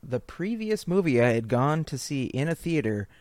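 A man's voice: fairly high pitch, very animated, moderate pace, a little mellow, dry recording. Easygoing male podcast co-host, dry. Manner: laughing, easygoing. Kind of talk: casual chat.

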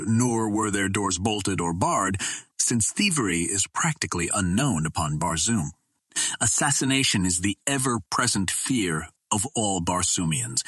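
A man narrates a classic adventure novel in a low voice with a husky edge. The sound is dry, with very little room around it. He reads as an audiobook narrator, from a script. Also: a bit bright, dry recording. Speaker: audiobook reader.

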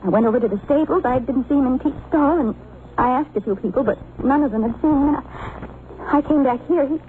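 An actress playing a sweet, anxious young daughter in an old radio drama, hesitant and pleading. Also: female voice, high-pitched, a little fast, close to an everyday voice. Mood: worried. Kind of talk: 1940s-50s radio drama acting. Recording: muffled, noisy old radio recording.

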